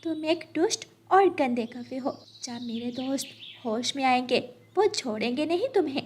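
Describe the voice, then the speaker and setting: A woman voicing a high-pitched, indignant little cartoon bird. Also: expressive, normal speed, darkish tone, slight background noise. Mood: defiant. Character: brave, indignant little female bird, good-hearted heroine. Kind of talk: Hindi children's cartoon dialogue.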